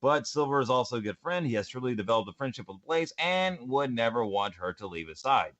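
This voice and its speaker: A young man hosting a podcast, animated and goofy, giving opinionated list commentary with casual banter. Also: medium pitch, a little fast, highs cut off. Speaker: podcast host and amateur voice actor.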